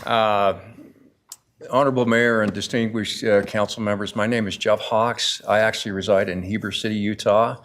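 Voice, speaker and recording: A man's voice: fairly low pitch, fairly even intonation, moderate pace, fairly bright tone. A man in his sixties from Idaho, a property developer giving earnest, insistent public testimony at a city council podium, often restarting his phrases.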